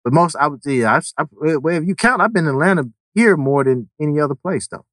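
A man from Connecticut in a relaxed group conversation, talking loosely and repeating words. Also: fairly high pitch, quick pace, dry recording. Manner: laid-back, repeats words, lots of "you know what I mean". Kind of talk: casual chat.

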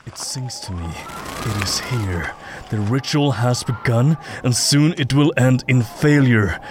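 A man playing a dazed, obsessed mystic haunted by visions in an audio drama, speaking in halting, cryptic, trance-like phrases. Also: medium pitch, background noise, natural voice. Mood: entranced.

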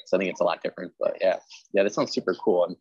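A man's voice: deep voice, steady tone, very fast, darkish tone, slightly rough, no room sound, dull audio. A man hosting a casual interview, chatting in an agreeable, rambling way with lots of filler words. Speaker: podcast host interviewing a writer.

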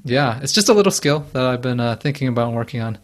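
A man speaking as a guest in a casual podcast interview over a video call, measured and thoughtful, with a fairly even voice. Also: medium pitch. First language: Japanese alongside English.

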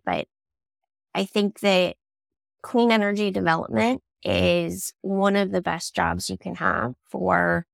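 A woman's voice: fairly low pitch, vocal fry, no room sound, studio quality. A woman in her thirties, getting over a cold, answering interview questions in a thoughtful, earnest way. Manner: thoughtful, earnest, with small restarts. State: scratchy voice from a cold. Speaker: guest, clean energy startup leader.

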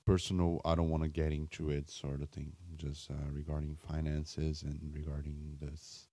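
A man hosting a solo podcast off the cuff in a raspy, just-woken voice, halting and searching for words with wry self-deprecation. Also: extremely low pitch, monotone, dark tone, dry recording. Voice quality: raspy morning voice. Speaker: podcast host.

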